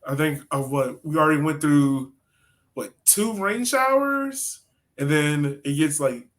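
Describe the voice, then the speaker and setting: Bright-voiced man chatting casually about sports. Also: on the high side, measured pace. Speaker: soccer podcast co-host.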